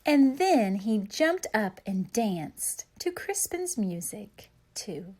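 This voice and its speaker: A woman reading a children's picture book aloud as a storyteller, with expressive, deliberate delivery for young listeners. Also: mid-range voice, husky.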